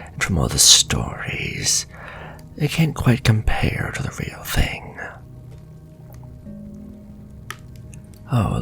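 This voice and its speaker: Sadistic flirty warlock played by a man for fantasy ASMR roleplay; very gravelly, unhurried, teasing half-whisper with big melodic swings. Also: lowish voice, fairly bright tone, exaggerated voice. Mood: menacing seduction. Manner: slow, teasing, breathy half-whispered delivery. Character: sly, sadistic, seductive male warlock villain.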